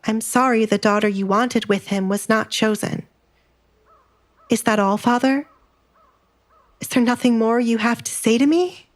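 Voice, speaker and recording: A woman's voice: on the high side, fairly even intonation, measured pace, pauses often, natural voice. A woman playing a wronged young noblewoman in an audio drama, pointed and bitter in her speech. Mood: bitter, defiant. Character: wronged young noblewoman, coolly defiant toward her father.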